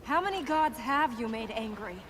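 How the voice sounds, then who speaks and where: An actress playing a proud, devout young warrior princess in a video game, commanding and earnest. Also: female voice, on the high side, expressive, normal speed, a little hiss or hum. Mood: stern, skeptical. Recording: loud music behind the voice. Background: loud game music.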